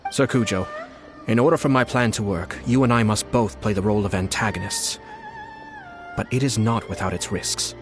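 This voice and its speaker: A man acts as a shrewd, courteous young nobleman from a video game. His voice sits low and his speech is measured and formal. Faint music plays behind him. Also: natural voice. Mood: composed, scheming.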